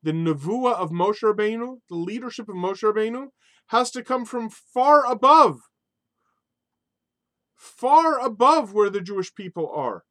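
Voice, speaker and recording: A man giving a spoken Torah lesson in an animated, emphatic way, mixing Hebrew religious terms into English. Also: sits high, dry recording, clean studio sound. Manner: animated, emphatic, rises sharply on key points. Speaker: Torah teacher.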